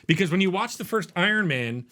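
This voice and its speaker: A man with a fairly high, bright voice that swoops all over as he talks. He co-hosts a review podcast in a loose, profane chat. He often slips into short acted-out quotes. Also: fairly quick. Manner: animated, profane, acts out quotes. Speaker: podcast co-host.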